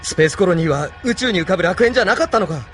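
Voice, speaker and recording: An actor playing an idealistic, troubled young rebel leader in an anime audio drama, intense and questioning. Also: male voice, high voice, expressive, racing, a bit bright, faint music behind. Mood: indignant.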